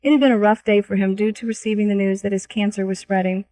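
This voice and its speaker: Woman narrating an audiobook, mid-pitched voice. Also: fairly even intonation, quick pace, dark tone. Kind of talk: scripted first-person audiobook narration.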